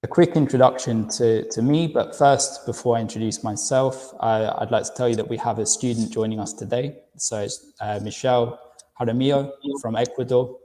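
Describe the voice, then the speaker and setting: A man's voice: medium pitch, normal speed, warm tone. London man presenting in a reverberant room. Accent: London English. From United Kingdom. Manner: friendly, repeats words as he restarts. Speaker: university recruitment director presenting a webinar. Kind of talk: webinar presentation.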